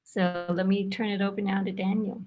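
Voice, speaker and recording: A woman presenting in an online webinar as a faculty member, speaking in a measured way with pauses mid-sentence. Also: medium pitch, flat delivery, a little mellow. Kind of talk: webinar presentation, introducing and thanking. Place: online video call.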